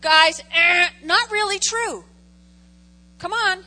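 A woman's voice: very high voice, slow, bright, forceful tone. A woman pastor preaching a sermon in a conversational way, repeating key phrases for emphasis and making jokey asides to the congregation.

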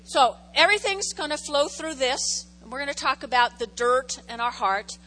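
A woman's voice: high voice, lively intonation, normal speed, fairly bright tone, rough recording. A woman pastor preaching a sermon in a conversational way, repeating key phrases for emphasis and making jokey asides to the congregation.

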